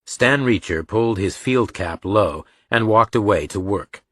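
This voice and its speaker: A man's voice: on the low side, animated, a little slow, a bit bright, no room sound. A man reading a scripted story as an audiobook narrator, close on the microphone.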